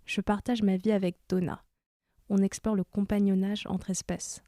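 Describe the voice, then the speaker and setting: A woman voicing a calm, earthy female wolf-dog hybrid in a podcast drama, speaking in a measured, intimate way. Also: mid-range voice, no echo, studio quality, close to an everyday voice. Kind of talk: podcast fiction monologue.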